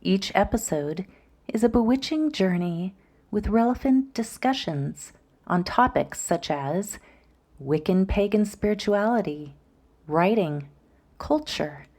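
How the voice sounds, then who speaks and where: A woman's voice: on the low side, a little mellow. A woman hosting a podcast, upbeat and curious, asking her guest questions with frequent fillers. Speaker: podcast host. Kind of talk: podcast interview.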